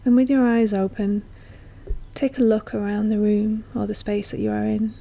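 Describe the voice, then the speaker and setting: A woman guiding a meditation in a soft, breathy, often near-whispered voice, speaking gently and slowly with long, soothing pauses. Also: mid-range voice, flat delivery. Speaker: guided meditation teacher. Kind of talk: slow guided meditation narration.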